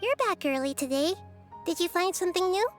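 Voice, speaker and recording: A woman voicing a gentle, thoughtful little girl goddess in a video game, with a girlish voice and calm manner. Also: extremely high pitch, a little fast, over music.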